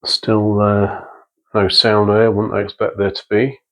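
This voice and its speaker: Guy talking thru a repair job at his bench, lowish voice, pretty flat, takes it step by step. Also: a little slow. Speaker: presenter of a vintage radio restoration channel. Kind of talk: unscripted hands-on workshop commentary. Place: indoor workbench in a small workshop.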